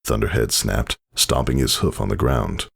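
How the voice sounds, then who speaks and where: A man's voice: very deep voice, normal speed, creaky, slightly rough. A man narrating a story as a scripted dramatic reading.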